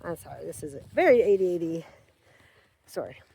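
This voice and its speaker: Woman farm vlogger, lively rambling delivery, loud outdoor noise on a camera mic. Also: fairly low pitch, a little fast, creaky. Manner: rambling, chatty, self-deprecating, talks to animals. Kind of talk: casual outdoor vlog chat. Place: outdoors on a farm by a frozen pond. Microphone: handheld camera.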